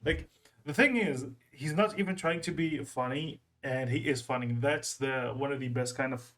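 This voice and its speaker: A man's voice: medium pitch, normal speed, fairly bright tone. A man hosting a reaction video in a casual, rambling way, repeating phrases for emphasis.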